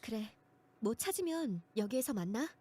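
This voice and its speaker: A woman playing a blunt, teasing young woman in a mystery visual novel, with a brisk manner. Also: fairly high pitch, animated, warm tone, slightly rough. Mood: brisk, resolute. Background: game music.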